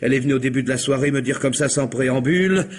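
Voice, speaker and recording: A man's voice: mid-range voice, breathy edge, natural voice. A man playing a talkative police commissioner in a radio comedy-mystery, falsely courteous and insinuating, hesitating and drawing out his words. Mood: musing, amused. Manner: hesitant, insinuating, mock-polite, drawn-out words. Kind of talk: theatrical French radio comedy-mystery acting.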